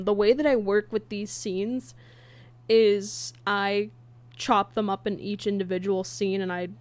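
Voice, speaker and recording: A woman chatting casually on a livestream as she works, halting and half talking to herself in an absent-minded way. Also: mid-range voice. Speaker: livestreamer and comic dub creator. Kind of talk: casual livestream chat while working. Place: indoors at a computer, streaming.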